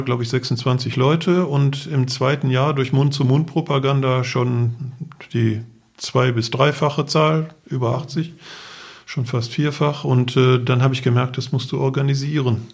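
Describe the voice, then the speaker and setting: Man explaining in detail, slightly creaky voice. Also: medium pitch, a little mellow. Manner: explains matter-of-factly in detail. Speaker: interview guest, enduro event organizer; architect for the city. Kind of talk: conversational interview storytelling.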